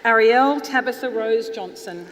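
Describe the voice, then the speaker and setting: A woman formally reading out a roll of names at a graduation ceremony, in a measured announcing manner. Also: on the high side. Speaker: graduation ceremony name reader. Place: large ceremonial hall, on stage indoors. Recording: reverberant hall, loud applause and noise. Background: audience applause.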